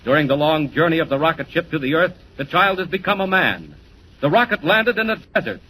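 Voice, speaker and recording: A man's voice: fairly high pitch, lively intonation, brisk, sharp tone, low-quality recording. A man announcing and narrating a 1940s radio drama in a grand, dramatic delivery. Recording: hissy 1940s radio recording.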